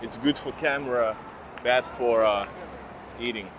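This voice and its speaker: A man's voice: medium pitch, a little slow. A man from Finland with a slight Finnish accent, livestreaming a walking tour and rambling to viewers in chat. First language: Finnish or Russian. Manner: rambling, self-correcting, reads out viewer comments. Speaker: vlogger. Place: outdoor city streets. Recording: noisy outdoor street with traffic.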